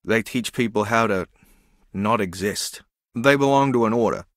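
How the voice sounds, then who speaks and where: A man's voice: lowish voice, normal speed, fairly bright tone, no room sound, studio quality, natural voice. An actor playing a dreamy, easily confused young man in a video game, earnest, naive and meandering as he muses. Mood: musing.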